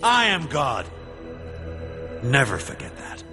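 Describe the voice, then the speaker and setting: An actor playing an arrogant, defiant Egyptian Pharaoh in an animated film, sneering, imperious and theatrical. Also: male voice, medium pitch, takes it slowly, over music, heavily acted. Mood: menacing.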